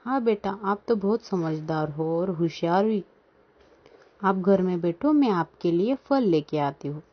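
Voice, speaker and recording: A woman voicing a gentle, earnest mother bird in a children's cartoon. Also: fairly low pitch, lively intonation, unhurried. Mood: doting. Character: gentle, doting mother bird, easily dismayed. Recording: dull lo-fi sound.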